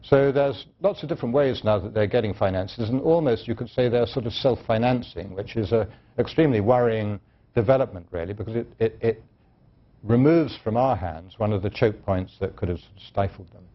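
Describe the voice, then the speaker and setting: A man with a low voice answers as a studio guest in a television interview. He speaks in a measured way and often restarts his sentences. The broadcast audio sounds dull and lo-fi. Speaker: studio guest, terrorism analyst.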